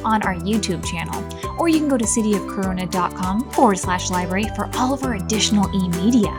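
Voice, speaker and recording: A woman with a somewhat high-pitched voice hosts a preschool storytime. She reads aloud and explains things in simple phrases aimed at young children, adding small words of encouragement. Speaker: library storytime host. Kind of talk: preschool read-aloud and instruction.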